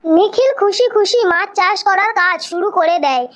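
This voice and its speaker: A woman's voice: very high-pitched, normal speed, a bit bright. A woman reading scripted narration for a children's cartoon story.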